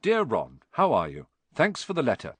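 Friendly practical young letter writer, read by a male narrator, animated storybook delivery, close dry sound. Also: mid-range voice, normal speed, no room sound, voice pushed up. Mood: brisk, friendly.

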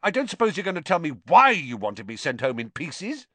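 Audiobook schoolboy , played by a woman: suspicious, indignant, firm and demanding, animated intonation. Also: on the low side, measured pace, dry recording, voice pushed up, heavily acted. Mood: angry. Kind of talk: audiobook character voice.

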